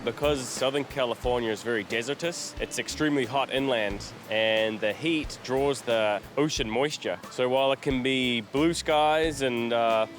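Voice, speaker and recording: A man from New Zealand, a lifeguard sergeant, calmly explaining his work in a documentary interview. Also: medium pitch, moderate pace, few pauses, music in the background.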